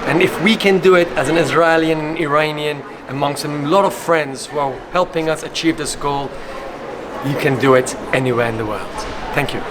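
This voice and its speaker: A man from Iran, co-founder of an advocacy group, speaking slowly and earnestly in an interview. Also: on the high side. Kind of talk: conference interview statement. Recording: loud background noise.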